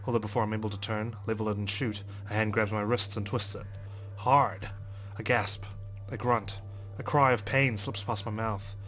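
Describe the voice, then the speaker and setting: A man's voice: on the low side, fairly even intonation, moderate pace, fairly bright tone, faint music behind. A man doing a let's play, reading a visual novel aloud with theatrical expression. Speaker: let's player. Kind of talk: dramatic read-aloud of a visual novel with asides.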